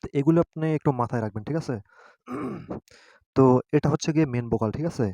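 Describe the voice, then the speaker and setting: A man leads a casual tutorial in a mellow, dark voice. He explains step by step and keeps adding little check-in questions. The recording is close and dry. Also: mid-range voice, fast, no echo. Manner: repeatedly adds a checking tag question. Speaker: tutorial host. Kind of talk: casual screen-guided app tutorial.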